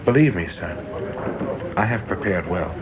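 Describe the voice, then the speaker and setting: An actor playing a young, ambitious government investigator in a radio play, coldly precise, formal and deferential but sure of himself. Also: male voice, sits low, lively intonation, moderate pace, over a noisy crowd. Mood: insistent, assured. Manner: deferential but self-assured, formal and exact.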